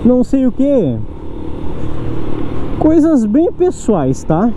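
A man's voice: very high-pitched, wildly swooping pitch, slow pace. A young man with a southern Brazilian accent, talking frankly and rambling as he rides a scooter through city traffic. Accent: southern Brazilian Portuguese. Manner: rambling, frank, self-deprecating. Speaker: motovlogger. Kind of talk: casual riding commentary. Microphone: helmet or action-camera mic. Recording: muffled with light wind and traffic noise.

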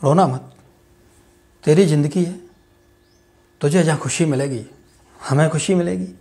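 Man playing a proud, ruthless politician father. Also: unhurried. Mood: soothing. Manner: booming and jovial with party workers, dropping to a hushed, cold undertone with family. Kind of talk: dramatic audition monologue.

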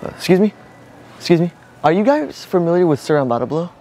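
A man's voice: on the high side, big pitch swings, quick pace, dark tone. A young American man bantering casually in a slangy, profane way as he pulls pranks in public. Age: young adult. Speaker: prankster, video host. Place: large indoor supermarket. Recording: noisy store ambience, camera microphone.

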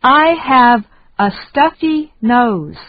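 A woman reading short phrases slowly and deliberately for learners to repeat. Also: fairly high pitch, expressive, no room sound, phone-quality sound. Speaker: English lesson reader.

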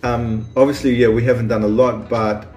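A man's voice: mid-range voice, flat delivery, moderate pace, darkish tone, roomy sound, faint music behind. A man who grew up in Australia, hosting a casual chat about small-town life, rambling with lots of restarts and ums. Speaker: vlog host.

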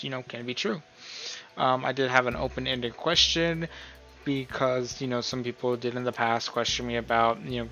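Casting: male tutorial host, bright forward voice, informal rambling delivery, faint music behind. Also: medium pitch, dull audio. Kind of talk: casual screen-recorded tutorial talk. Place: voice-over on a screen recording.

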